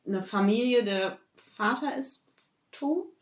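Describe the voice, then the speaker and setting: Higher-voiced woman, echoey room. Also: takes it slowly. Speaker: podcast co-host. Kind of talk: casual nerd chat. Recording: echoey room with faint noise.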